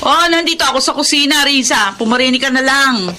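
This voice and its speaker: Filipino radio drama aunt, hot-tempered and blunt, piercing bright voice, quick delivery. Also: female voice, high voice, slight background noise. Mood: impatient. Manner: scolding, threatening, blunt.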